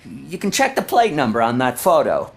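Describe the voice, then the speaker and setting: An actor playing a surly, mocking tattoo artist in a video game, with a sarcastic, defiant manner. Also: male voice, medium pitch, huge pitch swings, normal speed, vocal fry. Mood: grudging. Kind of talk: video game dialogue acting.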